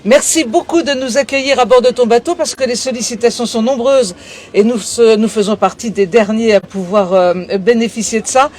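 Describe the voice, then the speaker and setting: A woman's voice: a little high, fairly quick, darkish tone. A woman conducting an interview on location as the interviewer. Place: aboard a sailing boat in port. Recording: noticeable background noise on location.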